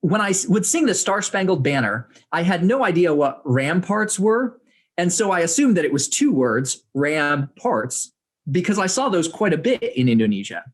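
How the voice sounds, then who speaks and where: A young adult man from the United States, reading aloud from his own book to an audience in a measured way with dry humor. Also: high voice, sing-song. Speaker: author reading and speaking to an audience.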